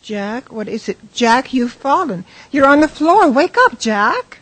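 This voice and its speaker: Concerned female partner for audio play, woman actor fairly high yet very husky, anxious and protective. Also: sing-song, unhurried, fairly bright tone, no room sound, heavily acted. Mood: alarmed. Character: Terry, anxious, protective woman comforting a troubled man. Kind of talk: radio drama acting.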